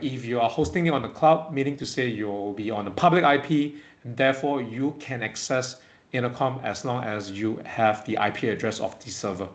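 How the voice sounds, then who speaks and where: A man presents a technical product webinar in a medium-pitched voice. He talks informally through slides as a company presenter. His delivery is halting and peppered with little check-in words.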